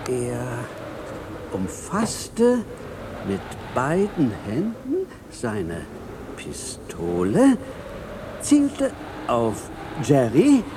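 An actor in a German radio drama playing a scheming comedian with drawn-out, theatrical delivery, on a noisy cassette recording. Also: male voice, mid-range voice, huge pitch swings, exaggerated voice. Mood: slow, theatrical.

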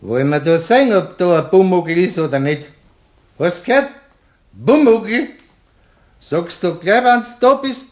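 An actor playing a tipsy, stubborn husband with a Bavarian accent, blustering and mocking in a German radio play. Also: male voice, high-pitched, expressive, a little slow, pauses often. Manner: blustering, emphatic.

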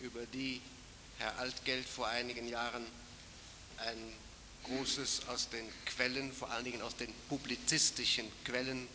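Flat-voiced man introducing a lecture. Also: mid-range voice, measured pace. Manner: even, formal. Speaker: session chair introducing a speaker. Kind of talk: formal introduction. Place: lecture hall. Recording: hissy reverberant hall recording.

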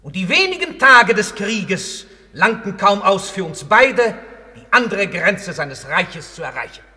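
A proud Roman general before a court of the dead. His voice is high and piercing, with sweeping, animated melody. He boasts in theatrical radio-drama style, ringing through a long hall reverb. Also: unhurried, heavily acted. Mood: boastful, proud. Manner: declamatory, boastful. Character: proud Roman general, now a shade on trial. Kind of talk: German radio play, theatrical verse delivery.

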